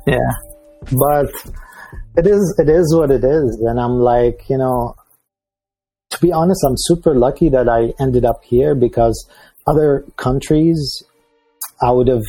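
Syrian man, dark husky voice. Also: mid-range voice, no echo, rough recording. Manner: halting, many restarts and fillers. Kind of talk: casual conversation.

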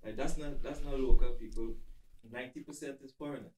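A man's voice: mid-range voice, steady tone, a little mellow, low-quality recording. A middle-aged man, the guest's father, joining the chat in a plain-spoken way. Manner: quick, plain-spoken, stumbles on words. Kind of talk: casual chat.

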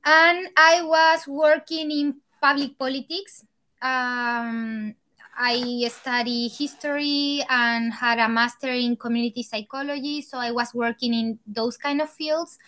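A woman from Chile with a Chilean accent, a native Spanish speaker talking casually in halting English. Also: high voice, fairly even intonation, pushed and bright, clean tone. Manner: halting, searching for words in second-language English. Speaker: guest, embroidery artist. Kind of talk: casual conversation.

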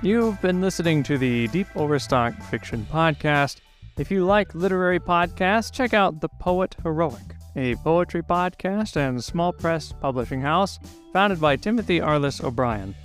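Higher-pitched man announcing over guitar music. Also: animated, moderate pace. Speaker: podcast announcer. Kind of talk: scripted podcast outro. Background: guitar theme music.

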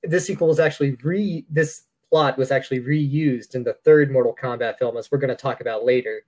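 A man's voice: medium pitch, steady tone, fairly quick, darkish tone. Dryly opinionated man on a podcast. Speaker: podcast co-host. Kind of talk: casual pop culture discussion.

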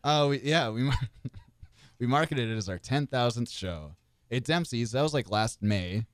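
A man's voice: mid-range voice, a little slow, crowd noise. A young man who sings in a local band, chatting easily in an interview with lots of filler words and light jokes. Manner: easygoing, joking, with frequent fillers and laughs. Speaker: guest, singer in a cover band. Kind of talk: casual interview chat.

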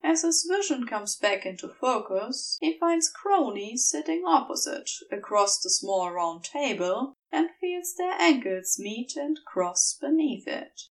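A woman reading scripted fiction as a narrator, in a gentle, measured storytelling manner. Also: high voice, big pitch swings, recorded from a distance, poor audio. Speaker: podfic narrator.

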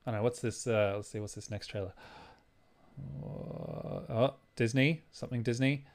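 A man's voice: mid-range voice, moderate pace, a little mellow. Man rambling casually about films. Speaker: podcast co-host. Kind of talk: casual film discussion.

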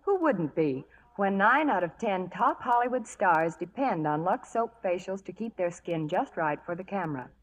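A woman's voice: lowish voice, lively intonation, normal speed, few pauses. A young American woman in scripted 1940s radio promotional chat, poised and polite. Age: young adult. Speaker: actress, interview guest.

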